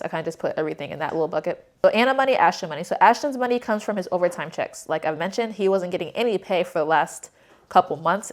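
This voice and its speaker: A young woman giving a casual budget recap as a vlogger, chatty and candid with informal slang. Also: fairly low pitch. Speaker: personal finance vlogger.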